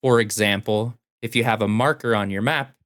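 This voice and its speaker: A man's voice: on the low side, moderate pace, a bit bright, dry recording. A man chatting casually and enthusiastically about video games as a podcast co-host.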